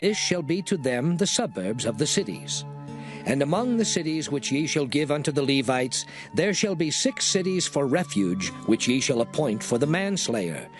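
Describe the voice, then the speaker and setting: An actor voicing the Lord's laws in a dramatized scripture reading, measured and declaiming. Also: male voice, on the high side. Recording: studio voice over music bed.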